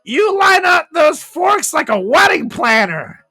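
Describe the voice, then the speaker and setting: A man's voice: very high-pitched, big pitch swings, takes it slowly, pushed and bright, voice pushed up, heavily acted. A man voicing a cranky, abusive old grandmother who scolds and taunts in a bossy, scornful way, for a comedy story reading. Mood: accusing, mocking. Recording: echoey room with music behind.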